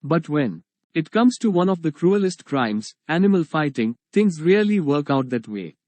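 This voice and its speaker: A man's voice: a little high, very animated, normal speed, darkish tone, no room sound. A man reading a prepared text aloud. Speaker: reader.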